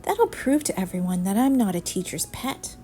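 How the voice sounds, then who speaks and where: A woman's voice: mid-range voice, very animated, moderate pace, warm tone, slight background noise, close to an everyday voice. A woman voicing an earnest, polite young schoolboy who is easily troubled, in a storybook reading. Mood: upset. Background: music.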